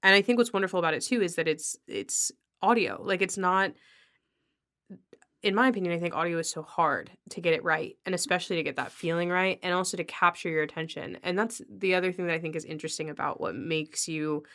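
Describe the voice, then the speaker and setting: Low-pitched woman chatting on a podcast. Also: brisk, fairly bright tone. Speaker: podcast host. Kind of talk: casual podcast conversation.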